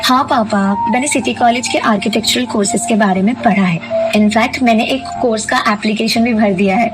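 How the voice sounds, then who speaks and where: A woman's voice: a little high, fairly quick, music in the background, lightly acted, poor audio. A woman playing an earnest, polite teenage daughter in an audio drama. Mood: bright, eager.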